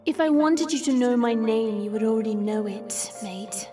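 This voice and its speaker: A woman's voice: on the high side, steady tone, normal speed, music in the background, lightly acted. A woman playing a sassy, teasing vampire with a sarcastic, playful drawl in an audio roleplay. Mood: coy, taunting. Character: hidden female vampire, sassy, teasing, unafraid. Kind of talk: audio roleplay drama acting.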